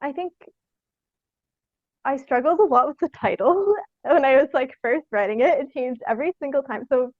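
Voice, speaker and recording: A dry, slightly muffled recording of a young woman with a fairly high voice. She talks casually as an interviewed theatre artist, piecing her thoughts together as she goes and peppering them with "like". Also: dry recording. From Sault Ste. Marie, Canada. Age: young adult. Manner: halting, thinking aloud, frequent "like".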